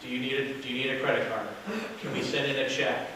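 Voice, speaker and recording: A man giving a loud, casual workshop talk to an audience as a hotel sales director, with no microphone. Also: medium pitch, steady tone, quick pace. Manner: casual, chatty, with fillers. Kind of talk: workshop presentation to a live audience. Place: meeting room with an audience. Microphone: distant camera, no microphone. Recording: very echoey room from far off with noise.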